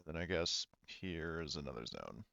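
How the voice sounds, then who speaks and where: A man's voice: extremely low pitch, big pitch swings, normal speed, vocal fry, bright, forceful tone, dry recording, lo-fi sound. A man working as game master, talking casually and narrating a tabletop roleplaying game.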